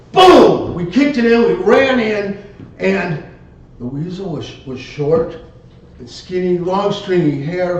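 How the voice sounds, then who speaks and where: A man with a slightly high voice delivers a live story to a room in dramatic, broken phrases. He sounds distant from the mic, with heavy echo around him. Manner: theatrical, halting phrases, acted outbursts. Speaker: contest speaker telling a tall tale. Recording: very echoey room, distant muffled mic, rumble.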